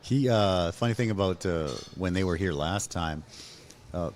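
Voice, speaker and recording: A man's voice: sits low, normal speed. A man hosting a casual podcast interview, laid-back and full of easy affirmations like okay and beautiful. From Mexican family, raised in California. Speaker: podcast host. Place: bar venue, live stream.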